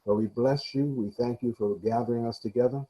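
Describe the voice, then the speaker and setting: A man's voice: medium pitch, steady tone, a little fast, warm tone, muffled recording. A man leading an opening prayer in a fervent, reverent way. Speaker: prayer leader.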